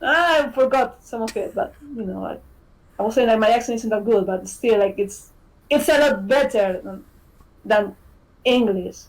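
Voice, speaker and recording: A woman whose first language is Spanish, chatting as a game developer guest on a podcast, animated, peppering her talk with "right?" and swearing freely. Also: a little high, normal speed, low-quality recording. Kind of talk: casual podcast chat.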